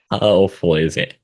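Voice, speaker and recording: A man with the flu hosting a casual video call, relaxed and given to laughing and asking thoughtful questions. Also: sits low, dry recording, studio quality. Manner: relaxed, laughs often, asks reflective questions. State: sick with flu. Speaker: host.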